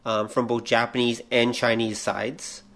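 A man's voice: mid-range voice, level tone, unhurried, gravelly, fairly bright tone. Explanatory man chatting on a podcast. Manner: explanatory, analytical. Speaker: podcast co-host. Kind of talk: casual pop culture discussion.

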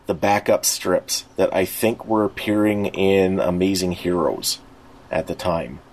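A man's voice: deep voice, steady tone, measured pace, a little mellow. A middle-aged man co-hosting a podcast, chatting casually as a fan in a rambling way with frequent restarts. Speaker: podcast co-host. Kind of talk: casual nostalgic fan chat.

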